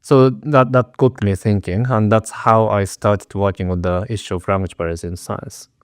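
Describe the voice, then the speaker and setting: Earnest Japanese-accented man, low voice. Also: steady tone, moderate pace, clean tone. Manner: earnest, careful, with repeated restarts. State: nervous. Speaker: researcher. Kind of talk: prepared interview answers.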